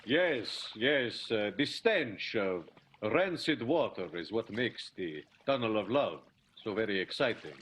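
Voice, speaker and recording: Florid, melodramatic silent-film lover. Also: male voice, fairly low pitch, expressive, unhurried, fairly bright tone, a little hiss or hum. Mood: grandly musing. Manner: florid, grandiose, lingering delivery. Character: melodramatic ardent silent-film leading man, jealous husband. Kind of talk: improvised radio soap opera acting.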